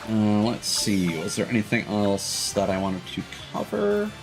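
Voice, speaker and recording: A man's voice: lowish voice, very animated, a little slow, vocal fry. A man livestreaming games with casual, rambling, opinionated and sweary commentary. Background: game music.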